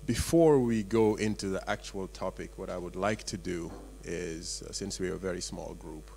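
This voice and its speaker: A man hosting a small workshop, opening it with halting remarks full of fillers, in a meeting room. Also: low voice, gravelly, mellow, slight background noise, rough recording. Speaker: event host.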